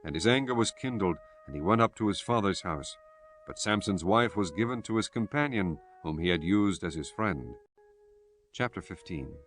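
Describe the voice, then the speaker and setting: A man's voice: low-pitched, expressive, normal speed, a bit bright. A man reading scripture as a scripted narrator, solemn and formal. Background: prominent music.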